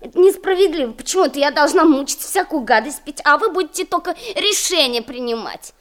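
A woman's voice: high-pitched, lively intonation, brisk, a bit bright. A woman playing a stubborn, pert young schoolgirl in a radio play, with a childlike manner. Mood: defiant. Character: cheeky, stubborn, self-righteous young schoolgirl.

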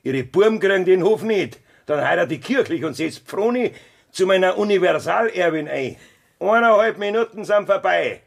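A cold, threatening Bavarian farmer. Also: male voice, sits high, expressive, moderate pace, vocal fry, exaggerated voice. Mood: menacing. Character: cruel scheming farmer husband, cold and threatening. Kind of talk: 1970s radio play acting.

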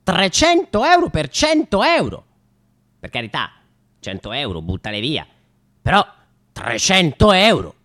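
A man's voice: on the high side, huge pitch swings, unhurried, long pauses, fairly bright tone. A man in his late twenties performing a satirical radio comedy monologue, theatrical and mock-nostalgic, with rhetorical questions and hesitant repetitions. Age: late 20s.

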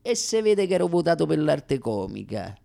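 A man's voice: on the high side, sing-song, moderate pace, gravelly, mellow, exaggerated voice. An actor playing a young aspiring comic from Rome, telling his story with a Roman accent in a dramatic, self-mocking radio monologue. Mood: plaintive.

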